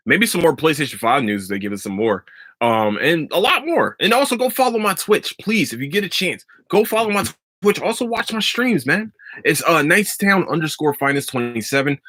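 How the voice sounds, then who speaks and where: A man hosting a solo podcast, talking in a rambling, profane and excitable way and laughing often, a little stuffy from allergies. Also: mid-range voice, dry recording. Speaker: podcast host. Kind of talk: solo casual podcast talk.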